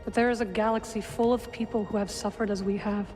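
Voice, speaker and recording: A woman playing a solemn, loyal young warrior survivor in a video game, with deliberate, formal phrasing. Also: medium pitch, fairly even intonation, darkish tone, lightly acted. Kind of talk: cinematic video game acting. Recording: reverberant hall, music underneath.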